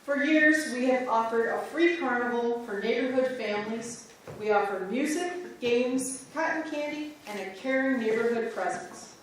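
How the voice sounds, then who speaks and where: A woman recites a script in a clear, earnest voice pitched on the high side. She stands well back from the microphone in a reverberant church. Faint hiss sits underneath. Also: normal speed. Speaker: reader's theater performer. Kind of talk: scripted reading of church history. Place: church sanctuary. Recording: echoey church from far off.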